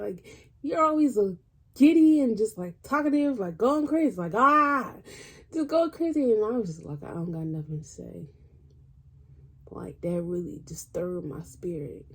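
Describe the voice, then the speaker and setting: A woman's voice: fairly high pitch, a little mellow. A woman vlogger telling a personal story in casual, colloquial speech, acting out what people said. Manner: informal, colloquial phrasing.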